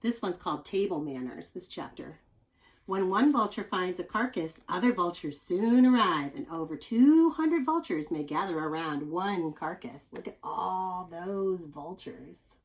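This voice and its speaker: A woman reading a children's nonfiction book aloud like a teacher, expressive and explanatory, adding chatty asides for young listeners. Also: fairly low pitch, unhurried, low-quality recording.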